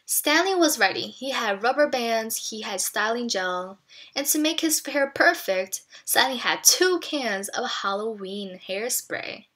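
A woman reading a children's picture book aloud expressively, pausing to explain things to young listeners. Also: on the high side, animated, pushed and bright.

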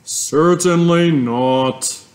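A man voicing a gentle, steady farmer father who speaks kindly. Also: a little high, extremely slow.

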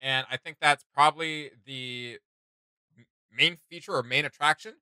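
A young man chatting casually as a reaction video co-host, enthusiastic and animated, with slangy phrasing. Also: a little high, moderate pace, clear, resonant tone, no echo. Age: young adult. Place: small indoor room with gaming setup.

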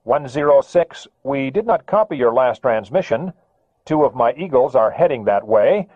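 A man's voice: medium pitch, normal speed, no echo, voice pushed up. A man doing a smug radio controller. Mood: mock polite. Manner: calm, falsely polite, needling. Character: smug American male radar controller, mocking. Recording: tinny radio transmission.

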